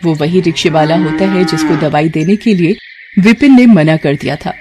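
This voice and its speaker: A woman's voice: sits low, normal speed. A woman narrating a scripted moral story as its storyteller. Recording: clear background noise.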